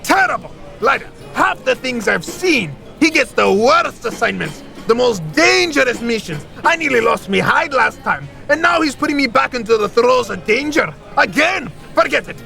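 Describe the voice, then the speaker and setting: Creaky, blustering fantasy artificer. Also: male voice, very high voice, very animated, moderate pace, few pauses, pushed and bright, faint music behind, full character voice. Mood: indignant, alarmed. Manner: blustery, exclamatory, colourful old-fashioned slang. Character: grumpy, indignant artificer, theatrical reluctant old comrade. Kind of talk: fantasy audio drama acting.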